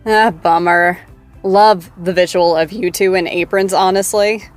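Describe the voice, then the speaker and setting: A woman's voice: fairly low pitch, fairly even intonation, measured pace, faint music behind, lightly acted. An actress playing a bubbly, teasing after-show host in a scripted audio drama, gushing and playful with her guest.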